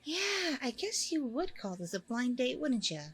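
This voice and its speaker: A woman's voice: high-pitched, very animated, a little slow, husky, heavily acted. A woman playing a friendly, nervous single mom on a blind date in an ASMR roleplay, rambling casually in a bubbly way. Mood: shy, friendly. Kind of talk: ASMR audio roleplay, conversational.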